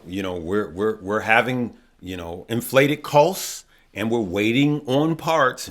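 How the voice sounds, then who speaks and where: A man's voice: on the low side, a bit bright. A man hosting a stock market video, losing his voice, who asks questions and then answers them himself and calls his viewers good people. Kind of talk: casual finance talk to viewers.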